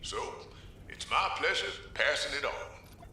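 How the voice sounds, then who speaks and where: A man's voice: a little high, lively intonation, a little slow, pushed and bright, full character voice. An actor playing a kindly, courtly older American man with a folksy manner in a video game. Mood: warm reminiscing. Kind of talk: video game cutscene acting. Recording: very echoey, distant, faint noise.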